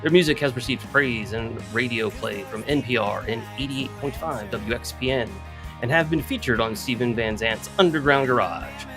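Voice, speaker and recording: A man's voice: mid-range voice, moderate pace. Male co-host reading intro over music. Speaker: podcast co-host. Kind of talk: scripted band introduction. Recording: rock music behind the voice.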